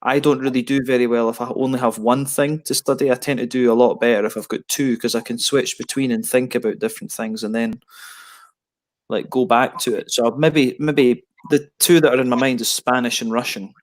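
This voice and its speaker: Man, 30s, casual rambling hangout talk over a headset, mid-pitched and relaxed, frequent self-corrections. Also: a little fast. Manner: rambling, many restarts and fillers. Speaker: beer reviewer on a video hangout. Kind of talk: casual chat.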